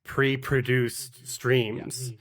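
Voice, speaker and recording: A man with a medium-pitched voice talks as a guest on a podcast. His delivery is casual and conversational. He phrases things reflectively, hesitating and repeating words as he goes. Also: little pitch movement, very slow, fairly bright tone. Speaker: podcast guest, actual play streamer and producer.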